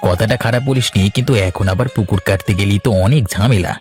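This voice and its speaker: A man's voice: lowish voice, quick pace, darkish tone, lightly acted. A man playing a practical village farmer, one of several brothers, in cartoon dialogue. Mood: considering. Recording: music under the voice. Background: instrumental music.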